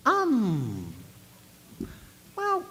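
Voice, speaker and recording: A man's voice: very high voice, wildly swooping pitch, drawn-out words, bright, forceful tone, exaggerated voice. A man playing a teasing, bemused male time-traveling pony from a fan radio play, probing dryly in convention banter. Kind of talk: in-character improvised convention banter. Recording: echoey, noisy convention hall.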